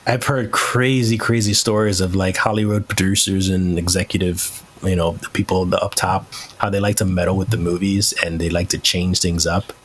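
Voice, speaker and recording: A man's voice: low-pitched, flat delivery, normal speed, husky. A man speaking as a podcast host in casual, opinionated conversation, swearing freely. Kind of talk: casual chat.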